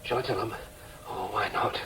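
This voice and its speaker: A man's voice: fairly low pitch, steady tone, moderate pace, noisy background, close to an everyday voice. An actor playing an anxious, earnest young Yorkshireman in a radio drama, hesitant yet insistent in his questioning. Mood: eager, insistent. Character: anxious, earnest young man searching for his birth parents. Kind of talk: radio drama acting, soliloquy and dialogue.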